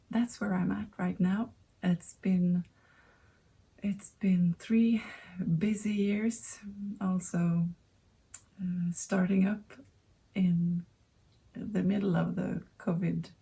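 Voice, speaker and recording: A Swedish woman in her thirties, speaking English as a second language, hosting a knitting podcast in a hesitant, casual way with many pauses. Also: on the low side, creaky, warm tone, poor audio. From Sweden. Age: 30s. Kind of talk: casual chat to camera.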